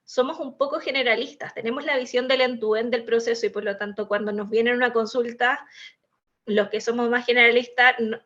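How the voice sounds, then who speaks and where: A woman's voice: fairly high pitch, steady tone, a little fast, a bit bright, muffled recording. A woman with a Chilean accent, an in-house legal manager speaking on a panel in a discursive, explanatory way with many hesitations.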